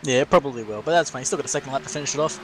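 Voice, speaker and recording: A man's voice: medium pitch, rapid-fire, fairly bright tone, slight background noise, rough recording. A man giving chatty, informal co-commentary on sim racing.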